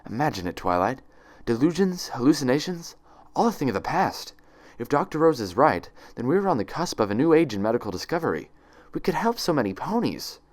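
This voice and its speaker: A man plays a female pony nurse from a storybook. The voice is pitched up and swings widely, syrupy and condescending, with icy authority under the cheer. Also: very animated, fairly quick, natural voice. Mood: sweetly eager.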